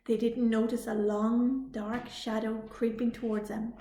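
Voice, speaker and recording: A woman reading a children's story aloud as a library storytime reader, with expressive delivery. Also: a little high, a little hiss or hum. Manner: slow, expressive read-aloud. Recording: echoey room.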